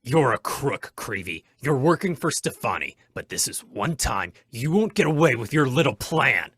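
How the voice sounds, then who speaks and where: A man's voice: mid-range voice, sing-song, normal speed, a bit bright, breathy edge. A man acting a stern, commanding masked vigilante in an old-time radio drama, speaking in firm, menacing declarations. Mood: stern, accusing. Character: masked male crime-fighting vigilante, stern, commanding, resolute.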